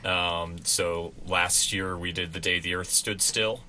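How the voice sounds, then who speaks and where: A man with a low but bright, forceful voice talks as a radio theater guest in a casual interview. He rambles informally, and there is noticeable background noise around him. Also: fairly even intonation, takes it slowly, rough recording.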